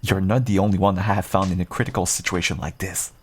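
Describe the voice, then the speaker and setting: A man playing a calm, protective werewolf rogue in a fantasy roleplay audio drama, speaking in an earnest, measured way. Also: low-pitched, husky. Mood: sober.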